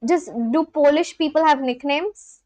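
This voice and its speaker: Indian woman streaming live, pretty high voice, super chatty, laughs a lot, dry close sound. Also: unhurried, a little mellow, dry recording, dull audio. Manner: chatty, laughs often, talks straight to chat. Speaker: livestream host. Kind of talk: casual live-stream chat with viewers.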